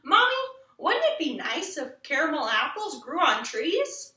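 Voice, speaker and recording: Woman putting on a high, perky commercial kid. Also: very animated, measured pace, pushed and bright, heavily acted. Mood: cutesy, eager. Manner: sing-song, cutesy, exaggerated. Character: eager, chirpy little children in television commercials. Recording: roomy echo, distant from the mic.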